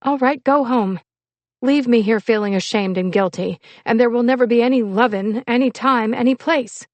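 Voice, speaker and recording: An audiobook heroine, young and in love, acted out by a woman reader. She is earnest and hesitant, pleading her case with insistent emotion. The voice sits slightly high in a very dry recording. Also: a little slow, no room sound. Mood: hurt, defiant. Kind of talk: audiobook character dialogue.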